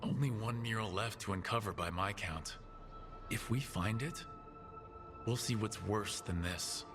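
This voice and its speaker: An actor playing a pragmatic, determined fantasy hero in a video game, speaking plainly and with purpose. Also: male voice, fairly low pitch, a little slow, natural voice. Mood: questioning. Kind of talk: dramatic video game dialogue. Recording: music behind the voice.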